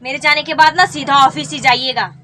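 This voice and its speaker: A sharp-tongued young wife, quick to temper and full of schemes, talks in a high, bright voice, as a woman plays her. Her has a lilt. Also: steady tone, slight background noise. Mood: bossy. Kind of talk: comedy skit.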